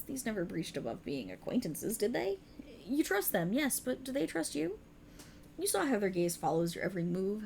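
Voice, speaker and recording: Girl doing a cruel dark deity for asmr roleplay, highish creaky voice, taunting and snooty. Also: a little hiss or hum. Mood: insinuating.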